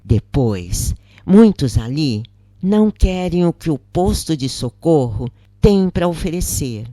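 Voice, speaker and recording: A narrator reading a scripted first-person account in measured phrases with pauses. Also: mid-range voice, big pitch swings, dark tone, dry recording.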